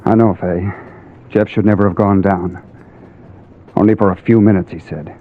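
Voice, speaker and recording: An actor playing a controlled, solemn deep-sea diver explaining himself in a 1950s radio drama. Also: male voice, steady tone, moderate pace, a little hiss or hum, lightly acted. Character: diver explaining a partner's death, controlled, smooth. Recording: muffled old radio recording.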